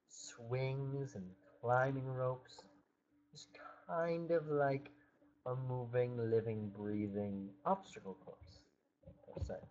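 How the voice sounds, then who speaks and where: A man's voice: mid-range voice, a little mellow. Man telling an improvised fairytale, slightly muffled. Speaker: storyteller.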